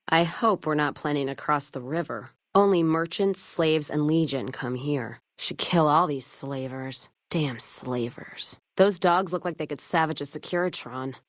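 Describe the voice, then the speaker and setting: An American woman playing a jaded, hard-drinking, foul-mouthed caravan trader in a video game, with a gruff, sardonic, folksy manner. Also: low-pitched, dry recording, clean studio sound, close to an everyday voice. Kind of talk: video game companion voice lines.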